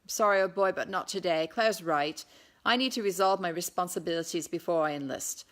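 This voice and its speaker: Woman as a hot-tempered young gentleman, emphatic delivery. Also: lowish voice, brisk, natural voice. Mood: resolute.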